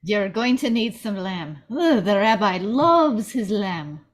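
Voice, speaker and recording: A woman's voice: mid-range voice, big pitch swings, takes it slowly. A performer, heard as a woman, playing earnest, eager men in a scripted comedy table read.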